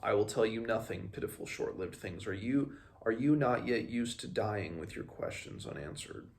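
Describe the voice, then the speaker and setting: A scornful immortal captive from a fantasy tale read by a young man has a deep, creaky voice. The words come out measured and full of disdain for mortals. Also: lively intonation, darkish tone.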